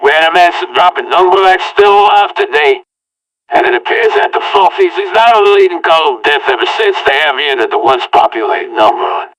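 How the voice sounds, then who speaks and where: A man's voice: on the high side, sing-song, brisk, a bit bright. A man telling a homemade audio-log story in the first person, with an earnest, rambling delivery. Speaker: narrator. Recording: voice far from the mic.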